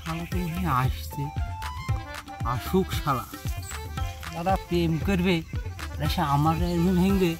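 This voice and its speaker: An actor playing an angry rustic field owner, threatening and cursing in a comedy skit, with music over the dialogue. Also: male voice, a little high, moderate pace, long pauses, close to an everyday voice. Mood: menacing.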